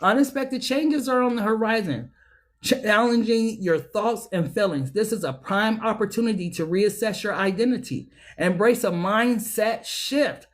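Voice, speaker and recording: Fiery, preachy tarot-reading woman. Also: a little slow. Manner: enthusiastic and preachy, swinging high and low; profane and slangy. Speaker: tarot reader. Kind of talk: casual tarot reading to camera.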